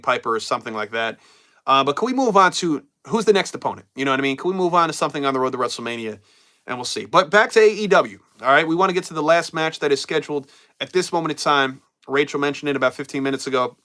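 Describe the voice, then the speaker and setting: A man's voice: fairly high pitch, bright, forceful tone. A man hosting a live wrestling stream, chatting casually and reading viewer comments while getting over a cold. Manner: casual, loose, repeats himself and trails off. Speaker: wrestling show host.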